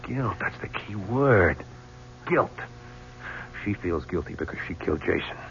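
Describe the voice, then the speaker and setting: A man's voice: low-pitched, lively intonation, a little slow, gravelly, fairly bright tone, heavily acted. An actor playing a suspicious, agitated man accused of murder who distrusts everyone. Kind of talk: 1970s radio drama acting. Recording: dull old radio recording with faint hiss.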